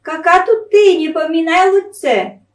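Woman as high-pitched scornful peasant sister. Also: lively intonation, a little slow. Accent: Northern Russian Pinega dialect. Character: haughty mocking older sisters, young peasant women. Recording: echoey room, distant microphone.

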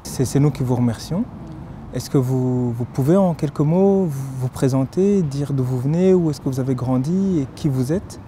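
A man in his thirties puts formal questions with measured politeness, sometimes restarting his phrases. His voice is very dark and mellow, recorded outdoors in a park with faint traffic noise behind. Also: mid-range voice. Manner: measured, polite, slightly halting. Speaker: interviewer.

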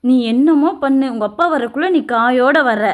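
A woman's voice: sits high, moderate pace, narrow, tinny audio, lightly acted. A woman playing a cruel, middle-aged village stepmother who nags and orders people about in an amateur family drama. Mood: harsh, dismissive. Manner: harsh, nagging, bossy.

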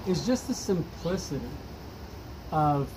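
A man's voice: on the high side, lively intonation, slow pace, background noise. A man in his fifties hosting a casual outdoor interview, talking in a rambling way with many fillers and laughs. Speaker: vlog host. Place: outdoors in front of a shop on a street.

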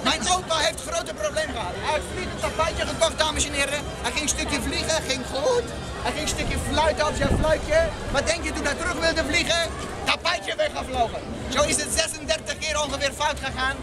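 High-pitched theatrical bazaar quack. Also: male voice, steady tone, fairly quick. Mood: playful salesmanship. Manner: theatrical, projecting, showman-like, broken grammar. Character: cheeky theatrical male bazaar quack peddling carpets. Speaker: theme park street performer. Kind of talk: live comic street theatre patter to audience. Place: outdoor theme park square. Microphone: handheld camera from within the crowd. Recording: crowd and music, outdoors, amateur camera. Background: crowd noise and music.

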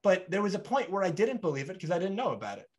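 A man's voice: high voice, fast talker. A man in his thirties hosting a podcast over a video call, talking animatedly and acting out little back-and-forth dialogues. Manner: animated, gestures broadly, acts out both sides of dialogues. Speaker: podcast host. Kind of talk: conversational interview. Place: bright home room.

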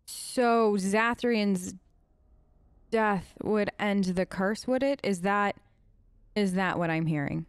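A woman's voice: mid-range voice, slow, clear, resonant tone, no echo. A woman doing casual, chatty role-play commentary as a let's play host. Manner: chatty, conversational.

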